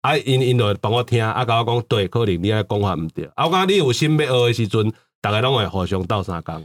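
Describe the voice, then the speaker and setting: A man's voice: on the low side, animated, quick pace. A man with a Taiwanese accent chatting casually on a podcast, often repeating words and phrases as he explains.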